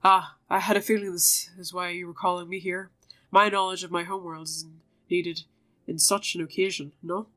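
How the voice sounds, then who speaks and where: A woman's voice: fairly low pitch, flat delivery, normal speed, pushed and bright, faint music behind. A woman voicing an impish showman from another world in a video game, speaking in a sly, flowery, theatrical way. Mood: smug, theatrical.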